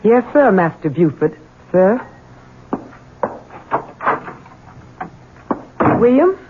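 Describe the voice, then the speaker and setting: A woman's voice: medium pitch, big pitch swings, normal speed, natural voice. Actress doing a worried respectful servant mother, hesitant, vintage radio drama, bit of hiss, phone-like. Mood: businesslike. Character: deferential, worried Southern household servant mother. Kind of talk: 1970s radio drama acting. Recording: muffled noisy vintage radio broadcast.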